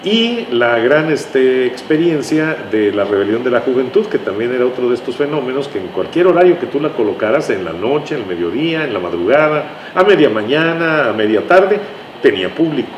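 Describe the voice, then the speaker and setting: A man's voice: mid-range voice, very animated, moderate pace. Mexican man reminiscing in an echoey room. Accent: Mexican, from Tabasco. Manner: hesitant, searching for words, repeats phrases. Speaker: interview guest, writer and radio drama veteran. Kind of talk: conversational reminiscing. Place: indoors in a bookstore. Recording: roomy echo, faint background noise.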